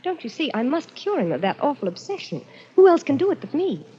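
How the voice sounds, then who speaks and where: High-voiced, fervently devoted wife. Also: female voice, very animated, a little fast, mellow. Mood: insistent. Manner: fervent, pleading, insistent. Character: devoted, stubborn, lovestruck young wife in danger. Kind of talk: 1940s radio melodrama acting. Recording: muffled, noisy old radio recording.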